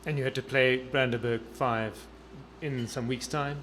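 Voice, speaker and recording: A man interviewing a guest, asking brief, gentle questions and murmuring reactions. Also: mid-range voice, steady tone, measured pace, a little hiss or hum.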